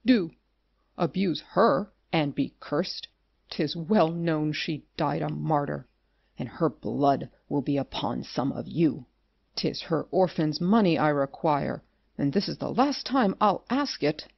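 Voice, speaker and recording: A dry, lo-fi audio play voice with heavy gravel in it. A man acts a pious creditor, scolding and indignant, in theatrical period speech. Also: on the high side, slow, no echo, heavily acted. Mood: aggrieved. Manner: righteous, scolding. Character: indignant, pious creditor demanding his money. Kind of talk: theatrical period comedy acting.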